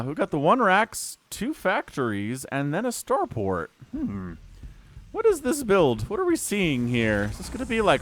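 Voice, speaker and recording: A man casting a strategy video game match solo, excitable and jokey, asking himself questions and reacting as plays unfold. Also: on the high side, slight background noise. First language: English. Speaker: esports caster. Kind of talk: solo play-by-play commentary.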